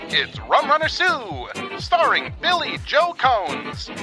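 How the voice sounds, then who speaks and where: A man's voice: wildly swooping pitch, slow pace. A man announcing a radio drama in a theatrical, old-time style. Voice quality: theatrical, booming delivery. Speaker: show announcer. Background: music.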